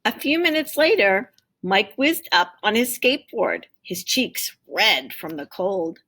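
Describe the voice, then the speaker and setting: A woman's voice: mid-range voice, unhurried. A woman teacher reading a storybook aloud expressively, with explanatory asides for her pupils. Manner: expressive, acts out dialogue.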